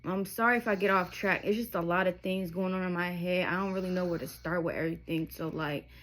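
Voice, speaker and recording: A woman's voice: lowish voice, fairly even intonation, fast talker, fairly bright tone. A woman of thirty telling her life story to her viewers, candid and emotional, rambling with asides as she goes. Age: 30s. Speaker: vlogger. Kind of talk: personal testimony to camera.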